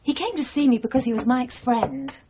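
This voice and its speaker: A woman's voice: high-pitched, brisk, warm tone, a little hiss or hum, natural voice. An actress in a radio drama playing a self-possessed, independent woman with a composed, questioning and assertive manner. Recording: dull, slightly muffled 1980s radio broadcast.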